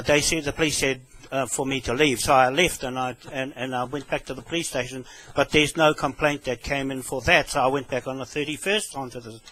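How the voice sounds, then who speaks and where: A man with a New Zealand accent talking on the telephone in a halting, repetitive, rambling way. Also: medium pitch, bright, forceful tone, poor audio. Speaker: caller with a complaint. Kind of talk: phone conversation.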